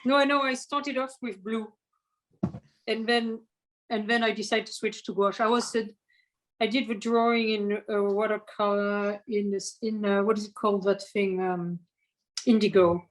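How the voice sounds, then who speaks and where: A woman's voice: mid-range voice, steady tone, normal speed, poor audio. Hesitant woman student. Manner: hesitant, searching for words. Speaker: art student. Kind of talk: casual chat.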